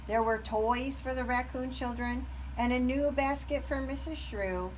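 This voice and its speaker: A woman narrating a children's story aloud with expressive, deliberate phrasing. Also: sits high, recorded from a distance, poor audio. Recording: background noise.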